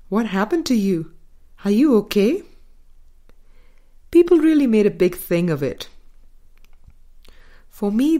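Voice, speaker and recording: A woman with a slightly low-pitched voice narrates a spiritual book. She reads very unhurriedly, in a measured and deliberate way, as an audiobook reader working from a script. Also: a little mellow.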